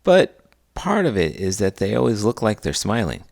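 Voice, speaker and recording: A man with a low, creaky voice and a very lively range of pitch. He talks like an elementary teacher chatting with children, explaining things plainly as the host. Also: normal speed. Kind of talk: friendly educational chat for children.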